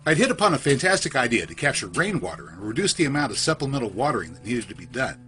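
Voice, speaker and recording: Animated man narrating a creepy first-person story. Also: medium pitch. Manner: literary, measured, suspenseful storytelling.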